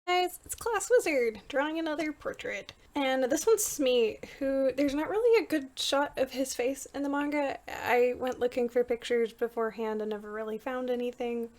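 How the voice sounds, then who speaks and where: High-voiced woman, casual and self-deprecating. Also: normal speed, few pauses, darkish tone. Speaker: portrait artist and voice actor for a fan manga dub. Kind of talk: casual behind-the-scenes drawing commentary.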